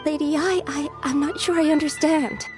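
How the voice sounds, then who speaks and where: A woman's voice: high voice, steady tone, normal speed, close to an everyday voice. A woman voicing a devoted young female sword disciple in a video game, sincere and respectful. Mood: worried. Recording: music behind the voice. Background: game music.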